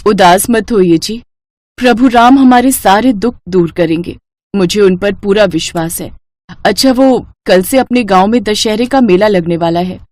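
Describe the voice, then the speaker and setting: Woman playing a devout village wife, mid-pitched. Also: normal speed, a little mellow, no echo, clean studio sound, close to an everyday voice. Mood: reassuring. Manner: reassuring. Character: devout, supportive village wife of poor toymaker.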